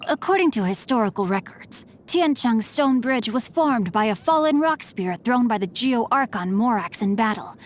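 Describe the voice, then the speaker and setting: A woman voicing a refined, courteous young male swordsman and scholar in a game, speaking politely and formally with a gently teasing edge. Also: on the high side, animated, moderate pace, hardly any pauses, natural voice. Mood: polite, composed. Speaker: game character voice lines. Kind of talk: scripted game voice lines.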